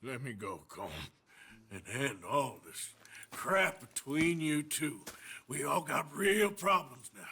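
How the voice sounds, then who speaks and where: An actor playing a tough, stubborn, wounded outlaw gunslinger in a western game, with a gruff, terse, defiant drawl. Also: male voice, mid-range voice, animated, slow pace, pushed and bright, heavily acted. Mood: weary, stern. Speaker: captured gunslinger character in a western video game. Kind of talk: dramatic game cutscene acting.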